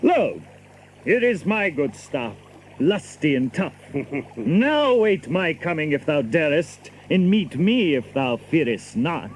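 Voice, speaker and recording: Proud, merry outlaw archer for audio drama: high voice, faint noise behind, boastful flourish. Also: male voice, takes it slowly, low-quality recording. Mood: merry challenge. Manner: boastful, animated, theatrical. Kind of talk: audio drama acting in archaic English.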